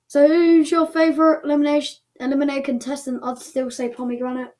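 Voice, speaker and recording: Woman, high-pitched voice, casual show host, chatty results commentary, off-the-cuff. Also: level tone, brisk, a little mellow. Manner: chatty, off-the-cuff, thinking aloud. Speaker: host of an online object show.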